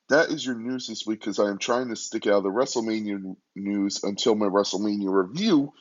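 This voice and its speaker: Hoarse young male podcast host, deepish voice, chatty and rambling, kept quiet, dull lo-fi mic. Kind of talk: casual solo podcast. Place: hotel room.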